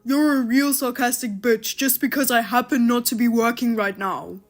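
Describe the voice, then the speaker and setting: An irritable husband out of work, played by a woman, snapping back and brushing off blame. The voice sits somewhat high and stays dismissive. Faint music plays under the story. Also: steady tone, normal speed, natural voice. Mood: defensive. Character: lazy, defensive unemployed husband.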